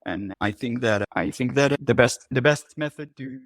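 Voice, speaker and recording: Hombre de voz media, titubeante. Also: male voice, mid-range voice, no room sound, studio quality. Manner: hesitant, full of pauses. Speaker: panel guest. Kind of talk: casual panel discussion.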